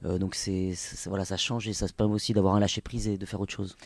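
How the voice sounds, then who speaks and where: A man's voice: deep voice, level tone, fast, gravelly, dry recording, studio quality. A man in his forties from the French Basque Country, a Basque native speaker, talking casually as an interview guest with many hesitations and restarts. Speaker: singer and actor guest. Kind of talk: relaxed interview talk.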